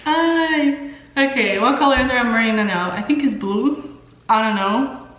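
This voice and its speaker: A woman's voice: a little high, normal speed, echoey room, highs cut off. A young woman with a Brazilian accent, a native Portuguese speaker, chatting casually to camera in an informal, candid, slangy way as a beauty vlogger. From São Paulo, Brazil. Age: young adult. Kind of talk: casual chat to camera while doing makeup.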